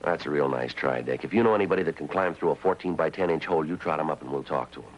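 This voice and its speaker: An actor playing a weary, deadpan American police detective sergeant in clipped 1950s crime drama style. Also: male voice, rumbling low, rapid-fire, a little hiss or hum. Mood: dry, skeptical. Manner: deadpan, matter-of-fact, dry. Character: terse, weary police detective sergeant, male, middle-aged. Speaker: lead detective and narrator. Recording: muffled 1950s TV soundtrack, music behind.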